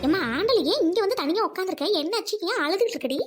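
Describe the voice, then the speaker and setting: A hot-tempered older village woman acted by a woman from a village drama. She speaks high and quickly, in a bossy, colloquial, questioning way. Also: soft background music, natural voice. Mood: insistent. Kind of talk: village comedy-drama acting.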